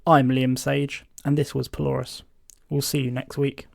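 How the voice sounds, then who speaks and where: An actor playing an earnest podcast host from a post-apocalyptic future, speaking in a measured, presenterly way. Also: male voice, medium pitch, flat delivery, gravelly, dry recording, clean studio sound. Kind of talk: scripted fiction podcast hosting.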